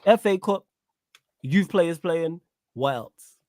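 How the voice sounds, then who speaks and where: A man's voice: on the high side, lively intonation, slow pace, a little mellow, dry recording, clean studio sound. A man, hosting a football call-in show and pressing a caller hard, cutting in and keeping count of points. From Manchester, England. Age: 30s. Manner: pushy and interrupting, keeps score of the caller's points. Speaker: host.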